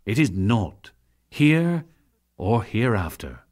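A man narrating a sacred text as a dramatic, formal reading, solemn and measured in his declamation. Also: fairly low pitch, sing-song, darkish tone.

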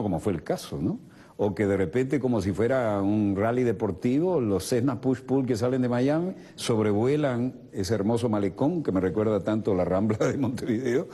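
A man's voice: fairly low pitch, dark tone, husky. A male TV host who leads the studio interview, talking expansively with colloquial asides. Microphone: lapel mic.

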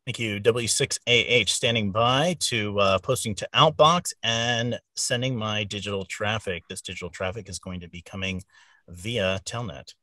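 Low, bright-voiced man on radio check-in. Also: normal speed, no room sound. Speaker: radio operator. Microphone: close studio microphone.

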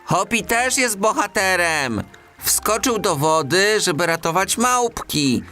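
A man voicing a small, kind, anxious clay toy that exclaims in a childlike, excitable way in a children's storybook. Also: high voice, takes it slowly, faint music behind, pitched above the performer's own voice, full character voice. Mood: admiring.

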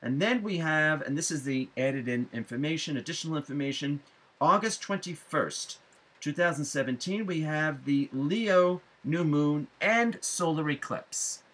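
A middle-aged man giving a spiritual forecast to viewers, speaking deliberately with emphatic phrasing and frequent pauses. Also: a little high, expressive, fairly bright tone. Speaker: astrology forecaster and psychic medium. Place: small room at home, indoors.

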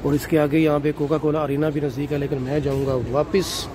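A man's voice: fairly high pitch, flat delivery, brisk, crowd noise. A middle-aged man narrating a walking tour for his viewers, filming on a handheld phone outdoors at night. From Pakistan. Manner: addresses viewers as "nazreen", leisurely describing sights while walking. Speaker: travel vlogger. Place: city streets at night, outdoors. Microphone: handheld phone camera. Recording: outdoor traffic noise.

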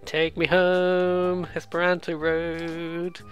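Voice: mid-range voice, completely flat delivery, slow, soft background music. A let's play host commenting casually on a game, rambling and joking at their own expense while reading bits aloud. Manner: rambling, jokey, self-deprecating.

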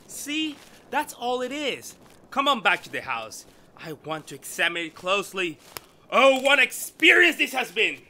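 Man playing an excitable, high-voiced, arrogant professor. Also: a little slow, a little hiss or hum, exaggerated voice. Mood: thrilled. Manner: theatrical, condescending. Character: excitable, arrogant male scientist, dismissive husband. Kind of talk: retro 1930s radio drama acting.

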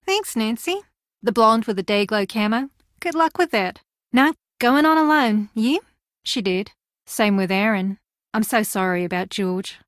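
A blunt driven young woman contestant in a video game, wry and clipped, highish voice, dry sound. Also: expressive, measured pace, dry recording. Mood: tense, blunt.

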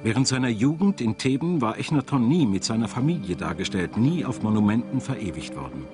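A man's voice: medium pitch, sing-song, a little fast. A man reading scripted narration for a documentary as a studio voice-over. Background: music.

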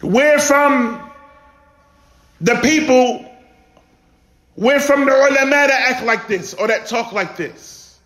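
High-pitched man preaching slowly and passionately. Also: a bit bright. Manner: exhortatory, emphatic repetition and rhetorical questions. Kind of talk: impassioned sermon. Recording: echoey room.

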